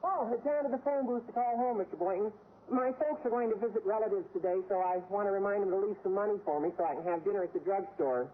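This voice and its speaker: An actor playing an eager, cheeky high school boy with a squeaky adolescent voice and florid manner in an old-time radio comedy. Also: quick pace, natural voice. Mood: eager, scheming. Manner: florid, over-earnest. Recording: scratchy, tinny old radio recording.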